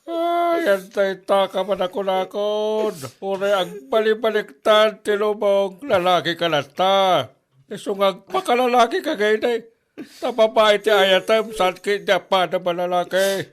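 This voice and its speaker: A man's voice: high-pitched, unhurried. A man with an Ilocano accent playing an exasperated father who scolds and exclaims in a comedy drama. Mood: exasperated scolding.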